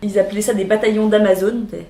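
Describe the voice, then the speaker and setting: A woman co-hosting a casual discussion show, explaining facts in a halting way with many fillers. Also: medium pitch, little pitch movement, warm tone. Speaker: podcast co-host. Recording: echoey room.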